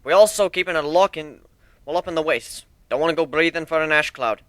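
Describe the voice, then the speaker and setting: A man's voice: fairly high pitch, a little fast, fairly bright tone, no room sound, studio quality, voice pushed up, close to an everyday voice. A man voicing a boastful, hearty minotaur airship builder in a dramatic story reading, with a brash, folksy way of talking. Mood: brisk, instructing. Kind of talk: dramatic fan fiction reading.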